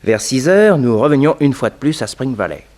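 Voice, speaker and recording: An actor as a composed storyteller, giving scripted narration in a 1960s French radio detective drama. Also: male voice, mid-range voice, sing-song, brisk, a little mellow, close to an everyday voice. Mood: narrating.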